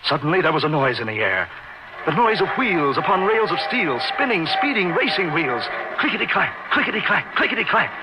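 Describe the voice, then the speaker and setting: A haunted, wildly expressive horror narrator. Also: male voice, fairly high pitch, heavy background noise. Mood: rising terror. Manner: theatrical, florid, dramatic pauses. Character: haunted man recounting his uncanny lifelong friend, intense. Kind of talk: old-time radio horror narration. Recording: dull old radio recording with music behind. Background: dramatic music.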